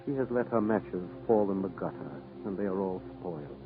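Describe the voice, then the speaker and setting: A solemn, compassionate prince of a statue pleads and commands with wide melodic swings. This is vintage 1940s radio acting, narrow in sound, with clear music underneath. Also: male voice, low-pitched, lively intonation, moderate pace. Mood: sorrowful compassion. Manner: solemn, pleading, repeats himself.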